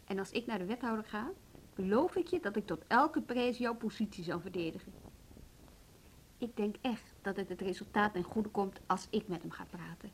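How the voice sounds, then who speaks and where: A woman's voice: medium pitch, normal speed, slight background noise, lightly acted, rough recording. An actress playing a determined, diplomatic woman from a music school in a radio drama, earnest and persuasive, with a Netherlands Dutch accent. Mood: earnest, reassuring. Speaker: actor in a radio play.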